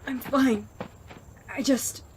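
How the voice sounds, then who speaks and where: Woman acting a defiant, high-voiced young woman. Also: steady tone, slow, darkish tone, slight background noise. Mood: irritated. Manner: scornful, foul-mouthed, biting. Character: defiant, sarcastic, injured young woman, single-minded and bitter. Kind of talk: audio drama acting, anime fan parody.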